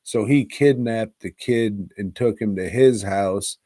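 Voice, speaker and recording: A low-voiced man chats through a film review as a podcast host. He takes his time, rambling in loose slang and cursing freely, recorded close and dry. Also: slow pace, warm tone, no echo. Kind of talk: casual, crude film review chat.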